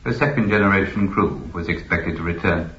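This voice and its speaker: Deep, imperious archive keeper. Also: male voice, fairly bright tone, dull audio. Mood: informative, detached. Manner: formal, measured, authoritative. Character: imperious, knowledgeable male keeper of an ancient imperial library. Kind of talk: science fiction radio drama acting. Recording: echoey, band-limited old radio recording.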